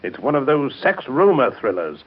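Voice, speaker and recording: A man's voice: mid-range voice, big pitch swings, moderate pace. An actor playing a courteous, genteel older family man, polite and slightly formal, in a 1930s radio soap opera. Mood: amused. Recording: dull 1930s radio broadcast.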